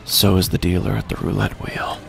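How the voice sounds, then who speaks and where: A man performing a haunted gambler telling his horror tale in a very deep, creaky voice. He speaks in halting fragments with dramatic pauses and hushed near-whispers, over clearly audible music in an audio drama. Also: flat delivery. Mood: tense dread. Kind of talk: scripted first-person horror narration. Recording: music bed under the voice.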